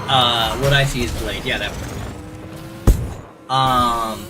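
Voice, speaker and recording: Male streamer, mid pitch, droning rambling rants, music playing behind. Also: fairly even intonation, slow pace. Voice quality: droning when ranting. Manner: rambling ranting with restarts. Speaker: gaming streamer. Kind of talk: casual livestream chat. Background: clear background music.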